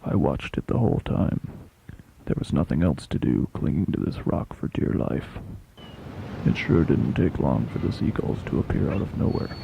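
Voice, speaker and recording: A man narrating a dramatic first-person sea story as a shipwrecked fishing captain, intense, theatrical and deliberate, with a gravelly, husky voice. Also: a bass voice, lively intonation, lo-fi sound, a little hiss or hum. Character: shipwrecked fishing captain telling his tale.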